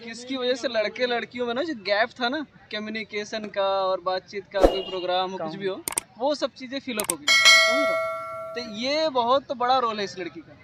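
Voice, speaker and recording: A man's voice: sits high, normal speed, over a noisy crowd. A young male student speaking outdoors in a casual, joking way, often checking that the listener follows. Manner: jokey, casual, keeps asking "you get it?".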